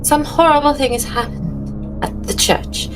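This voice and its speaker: A woman's voice: high voice, lively intonation, voice pushed up, rough recording. Woman playing a shaken, genteel lady over music. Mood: nervous, shaken. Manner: halting, formal, old-fashioned phrasing. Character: shaken, nervous, proudly indignant genteel lady.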